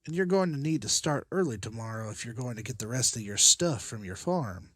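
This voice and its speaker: Guy acting level-headed survivor boss, firm n practical, really moving pitch, dry close recording. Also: animated, a little fast, fairly bright tone, dry recording. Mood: reassuring. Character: decisive, level-headed male leader of survivors. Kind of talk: motion comic dramatic acting.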